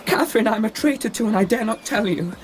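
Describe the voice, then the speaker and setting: A woman's voice: a little high, fast, a crowd in the background, lightly acted. A performer playing a sickly, cowardly young man who stammers and pleads in fear. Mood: pleading. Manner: stammering, whining, fearful. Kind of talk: radio drama acting.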